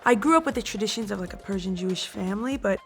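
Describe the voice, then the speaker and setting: A woman's voice: medium pitch, quick pace, vocal fry, faint music behind. A young woman, a first-generation college student, speaking casually in a documentary interview with many "like" fillers. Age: young adult.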